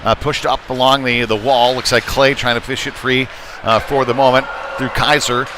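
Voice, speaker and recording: Male rinkside play-by-play commentator for youth hockey, mid-pitched, casual and halting, lifting with the play, noticeable arena noise. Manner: conversational, hesitant, rising excitedly on shots. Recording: noisy rink ambience behind voice.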